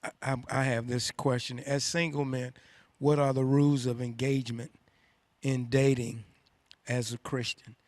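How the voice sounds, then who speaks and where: Male moderator, steady monotone, dry close sound. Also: mid-range voice, moderate pace, no room sound. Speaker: panel moderator.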